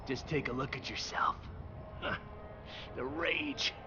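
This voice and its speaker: A man's voice: medium pitch, fairly even intonation, slow pace, dull audio, lightly acted. A man playing a sneering, foul-mouthed captive villain who taunts his captors in a video game cutscene. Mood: menacing taunting. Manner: mocking, taunting, profane. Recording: loud music under the voice.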